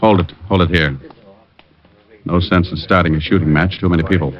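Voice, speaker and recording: An actor playing a cool, wisecracking private detective who also narrates, in an old radio drama, with dry, easygoing quips. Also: male voice, deep voice, steady tone, brisk, lightly acted. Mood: cocky. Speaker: narrator and lead. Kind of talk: 1950s radio drama acting. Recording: dull old radio broadcast.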